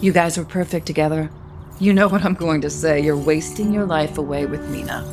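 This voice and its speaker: A woman's voice: deep voice, fairly quick, music in the background. An actress playing a protective, meddling, middle-aged mother of a grown son in a naturalistic audio soap opera. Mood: pointed, coaxing.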